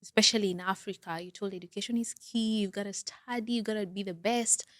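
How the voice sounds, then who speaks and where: A young woman from Kenya chats on a podcast with a mid-pitched, everyday voice. Her talk is animated and storytelling. The sound is close and dry, with no room around it. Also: fast, dry recording. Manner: chatty and animated, telling stories with faith remarks. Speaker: podcast co-host. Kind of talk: casual podcast chat.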